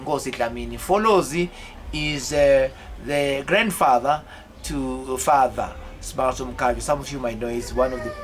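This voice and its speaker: Halting middle-aged man telling his life story. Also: medium pitch. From South Africa. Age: 50s. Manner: halting, with many fillers and repeated words. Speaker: autobiographical vlogger. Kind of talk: rambling personal life story.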